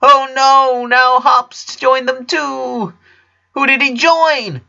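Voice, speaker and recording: A man voicing excitable, smug little twin girls in a storybook reading, with a whiny, squabbling manner. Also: very high voice, takes it slowly, vocal fry, muffled recording, voice pushed up, full character voice. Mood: alarmed. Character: bickering little girl twins, excitable and smug.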